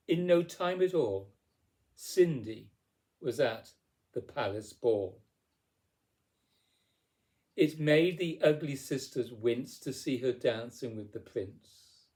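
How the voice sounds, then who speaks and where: Slow, mellow man reading verse dramatically. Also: medium pitch. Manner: theatrical, deliberate phrasing. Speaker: poetry reader. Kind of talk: dramatic storybook verse narration.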